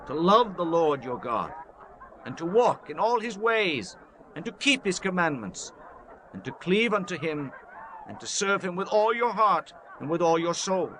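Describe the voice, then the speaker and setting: A man's voice: high voice, sing-song, a little slow, fairly bright tone, slight background noise, exaggerated voice, low-quality recording. A man playing a solemn Israelite leader who exhorts his people formally in a dramatized scripture audiobook. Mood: solemn exhorting. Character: Joshua, solemn male Israelite leader addressing his tribes.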